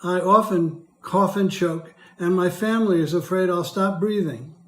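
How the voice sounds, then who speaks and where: An older man with a hoarse, dry voice, reading prepared testimony as a patient in a measured, careful way. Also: high voice, a bit bright, echoey room. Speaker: patient speaker. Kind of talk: prepared testimony read aloud.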